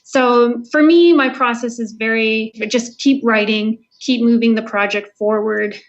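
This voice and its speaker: A woman's voice: a little high, fairly even intonation, unhurried. A woman with a Canadian accent talking casually and earnestly as a guest author in an interview, thinking aloud as she goes. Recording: dull lo-fi audio.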